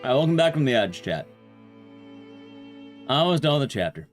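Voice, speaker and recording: A man's voice: mid-range voice, big pitch swings, fairly quick, faint music behind. A man livestreaming a game, chatting casually and addressing his viewers as he plays. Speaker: livestreamer and speedrunner. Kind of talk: casual livestream chat while playing a game.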